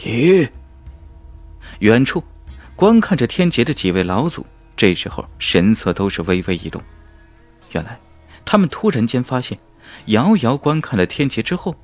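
A man's voice: mid-range voice, sing-song, normal speed, long pauses. A man narrating a fantasy novel in a dramatic, emphatic storytelling manner. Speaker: audiobook narrator. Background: background music.

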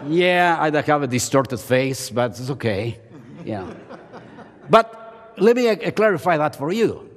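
A man's voice: on the high side, very animated, unhurried. An older man giving a playful, self-mocking chapel sermon from a podium. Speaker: seminary professor and chapel speaker. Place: large seminary chapel auditorium. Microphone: podium microphone. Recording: long hall reverb.

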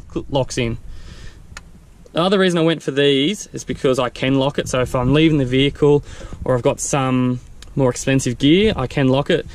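A man casually walking through his vehicle camping setup, explaining in a relaxed, practical way with fillers. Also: medium pitch. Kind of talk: casual walkthrough vlog. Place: outdoors beside a vehicle in a grassy field. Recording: faint wind noise outdoors.

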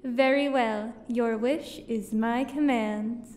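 Regal ancient female genie , acted by a woman, high voice in roomy echo, formal, condescending, audio theater. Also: fairly even intonation, takes it slowly, a little mellow. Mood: formal, imperious. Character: regal, centuries-old female genie, haughty and cordial. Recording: roomy, echoing voice.

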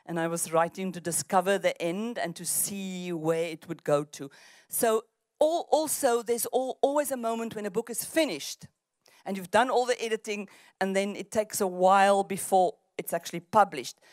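A woman's voice: mid-range voice, no room sound, clean studio sound. A woman in her sixties from South Africa whose first language is Afrikaans, speaking thoughtfully with frequent restarts as an author on an outdoor festival panel, into a handheld mic. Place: outdoor festival stage among trees. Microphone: handheld microphone.

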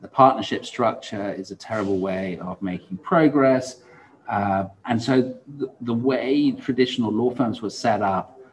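A man's voice: fairly low pitch, a little slow, slight background noise, rough recording. A man in his forties speaking casually as a podcast host in conversation. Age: 40s. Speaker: podcast host and law firm founder. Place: indoor room, seated.

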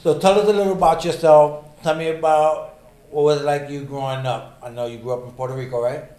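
A man co-hosting a podcast, asking his guest questions in a relaxed, conversational way on a desk boom mic in a small studio. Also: fairly quick, reverberant, rough recording. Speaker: podcast co-host. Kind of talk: interview questions.

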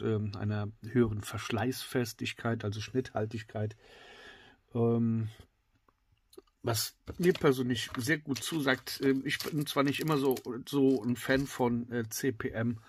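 A middle-aged man with a slightly congested voice, reviewing a knife in a casual, filler-heavy way that often drops to excited whispers. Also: medium pitch, no echo. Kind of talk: casual tabletop product review. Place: indoors at a wooden table.

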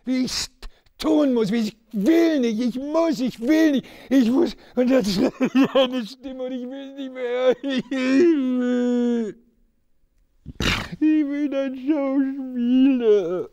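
A man's voice: very high voice, takes it slowly, dark tone, pitched above the performer's own voice, heavily acted. A man performing a tormented, hunted man who stammers, pleads and cries out in a dramatic stage monologue. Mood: sobbing.

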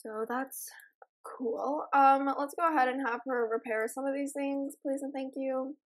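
A raspy young woman casually commenting while she plays a life-simulation game, rambling and giggling with lots of ums. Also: high voice, fairly even intonation, a little slow. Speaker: gaming channel host. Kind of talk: casual let's play commentary.

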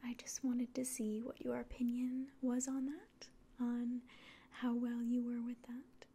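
Young woman whispering softly, ASMR. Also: a little high, level tone. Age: young adult. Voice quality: breathy, soft whisper. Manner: slow, gentle, hesitant pauses. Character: calm, condescending alien official examining a human. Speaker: ASMR performer. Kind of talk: whispered ASMR roleplay, addressing the listener. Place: small indoor room.

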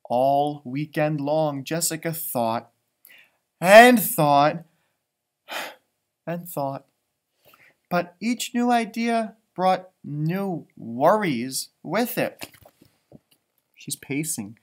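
A man in his thirties reading a children's storybook aloud, expressive, with chatty asides and drawn-out emphasis. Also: a little high. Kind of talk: animated read-aloud with casual asides.

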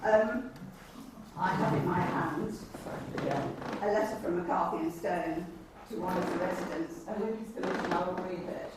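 Low-pitched English woman, very echoey. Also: moderate pace. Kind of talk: formal meeting speech, reading documents. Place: indoor council meeting room. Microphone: distant camera microphone. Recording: very echoey room, distant mic, faint noise.

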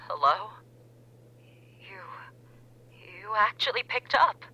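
Casting: male actor as hesitant remorseful ex on a call, slow and sparse, bright sharp tone, soft near-whispered delivery. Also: high voice, expressive, husky, muffled recording, full character voice. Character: remorseful young male ex-boyfriend calling.

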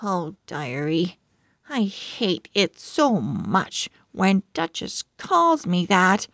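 Woman as an excitable little pug, very slow delivery. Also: medium pitch, dry recording, studio quality, full character voice. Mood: eager, dramatic. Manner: earnest, dramatic, confiding. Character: excitable, eager little pug writing a diary.

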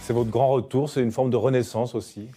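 Tv news guy in his 30s, mellow voice, brisk questions. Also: mid-range voice, steady tone, breathy edge, soft background music. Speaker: news presenter. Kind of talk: TV news interview. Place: TV news studio.

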